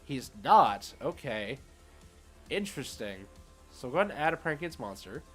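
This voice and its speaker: A man's voice: mid-range voice, big pitch swings, normal speed, fairly bright tone, faint music behind. A man hosting a livestream and giving casual, slangy commentary on a card game as he thinks aloud.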